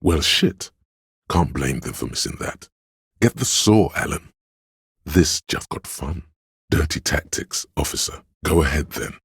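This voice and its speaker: A man voicing an omniscient inner voice of police camaraderie in a detective video game, narrating gravely and theatrically in a husky, gravelly voice. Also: expressive, unhurried, no room sound, clean studio sound, heavily acted. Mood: wry, knowing. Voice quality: very deep gravelly husky rasp. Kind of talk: dramatic literary game narration.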